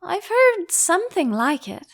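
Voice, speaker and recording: A woman voicing a polite, curious young girl with a gentle, earnest manner in a storybook reading. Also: very high-pitched, very animated, a little slow, pitched above the performer's own voice, heavily acted.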